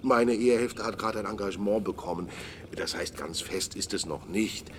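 A man's voice: lowish voice, moderate pace, noisy background, close to an everyday voice. A man playing a sociable, nosy acquaintance in a radio drama, rambling through anecdotes in a conversational way. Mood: chatty. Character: chatty gossiping male acquaintance, sociable and nosy.

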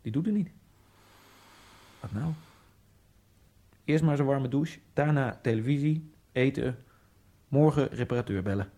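An actor playing a lonely Egyptian immigrant man in a radio drama, musing in a wry inner monologue that keeps jumping into giddy high outbursts. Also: unhurried, long pauses, dark tone. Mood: brooding. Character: lonely male Egyptian immigrant, brooding, self-mocking. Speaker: narrator and main character.

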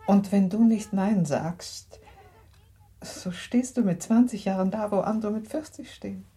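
An actress playing a genteel, purposeful middle-aged mother in a literary radio play, speaking in a deliberate, earnest manner. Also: mid-range voice, mellow, natural voice. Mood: earnest, urging.

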